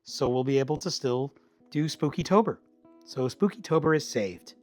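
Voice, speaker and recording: A slightly hoarse man chatting casually with viewers while cooking in his home kitchen, rambling in an easygoing way and chuckling often. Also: on the high side, expressive, moderate pace, darkish tone, faint music behind. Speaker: streamer.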